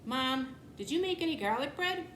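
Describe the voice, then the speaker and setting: A woman's voice: sits high, lively intonation, normal speed, fairly bright tone, voice pushed up. Woman as a sassy, whiny big sister, echoey. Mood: chatty. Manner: dismissive, exasperated, dramatic. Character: sarcastic, whiny older sister who loves theater. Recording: echoey room, clear background noise.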